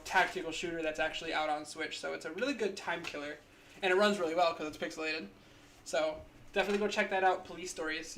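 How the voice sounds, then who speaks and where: A woman's voice: low-pitched, fairly bright tone, a little hiss or hum. A woman in her twenties co-hosting a casual podcast, rambling with lots of likes and ums. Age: 20s. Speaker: podcast co-host.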